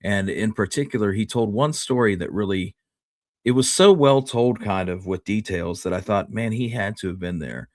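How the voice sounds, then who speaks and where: Low-voiced man, halting conversational historian. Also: a little mellow, no room sound, clean studio sound. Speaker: history show co-host. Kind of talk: casual storytelling discussion.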